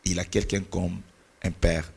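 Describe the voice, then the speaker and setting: A man's voice: sits low, level tone, measured pace, creaky, slightly rough. A middle-aged man whose first language is Portuguese, speaking as a pastor and mentor in an earnest, preaching cadence, sometimes searching for words. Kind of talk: church talk on mentoring.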